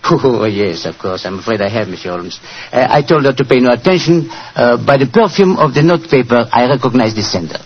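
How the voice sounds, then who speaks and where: A man's voice: mid-range voice, lively intonation, a little fast, breathy edge. A wry French inspector in an old radio mystery, with a French accent and a lilting, very varied delivery. He is courteous and a little hesitant, on a dull recording. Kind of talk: 1940s radio acting.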